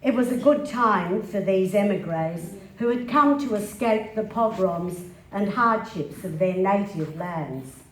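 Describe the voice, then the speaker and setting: A woman's voice: mid-range voice, lively intonation, takes it slowly, few pauses. A woman giving a nostalgic dramatic reading as a migrant family's child remembering early days. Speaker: stage reader. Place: indoor live literary event. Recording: echoey hall with hiss.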